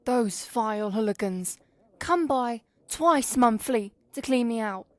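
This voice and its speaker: A woman voicing a young-looking high elf innkeeper in a fantasy audio drama, with a weary, formal, curt manner. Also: lively intonation, takes it slowly, dry recording. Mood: irritated, tired.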